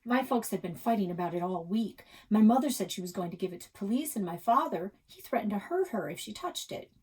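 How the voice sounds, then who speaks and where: A woman reading a story aloud in an expressive, dramatic storytelling style with emphatic pauses. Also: medium pitch, husky, poor audio. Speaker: librarian reading a children's novel aloud.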